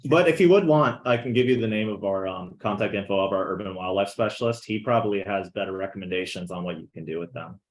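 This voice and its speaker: A man's voice: fairly low pitch, lively intonation, fast talker, few pauses. Friendly man answering wildlife questions. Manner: friendly, laughs easily, informal. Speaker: wildlife agency guest speaker.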